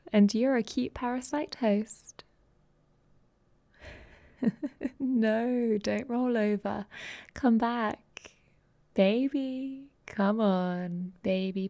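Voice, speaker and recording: A woman's voice: on the high side, a little mellow, no room sound. A woman playing a playfully dominant, affectionate vampire girlfriend in an intimate ASMR roleplay, cooing and teasing, often dropping toward a whisper.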